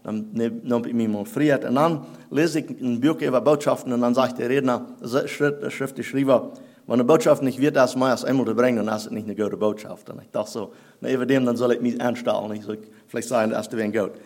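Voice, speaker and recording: A man whose first language is Plattdeutsch, preaching a sermon in halting German that he mixes with English phrases. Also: mid-range voice, steady tone, a little mellow. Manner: halting, searching for German words, mixing in English.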